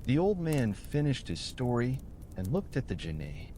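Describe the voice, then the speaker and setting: Man narrating a bedtime story, expressive pitch. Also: moderate pace, a little hiss or hum. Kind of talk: scripted storybook narration for sleep.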